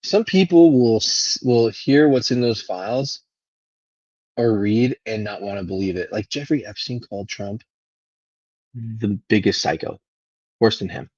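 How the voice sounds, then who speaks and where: A husky man with a fairly low voice hosts a casual livestream. He rambles without a script, halting and letting thoughts trail away. The sound is close, dry and slightly dull. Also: darkish tone, no echo. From Venezuela. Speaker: live stream host. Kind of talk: casual unscripted livestream monologue.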